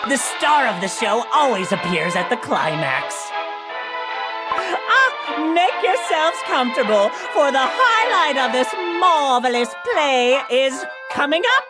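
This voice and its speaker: A woman's voice: high voice, big pitch swings, slow pace, full character voice. A woman playing a vain, theatrical trickster villain cookie in a game, narrating pompously and teasingly as if hosting a grand stage show. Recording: music behind the voice.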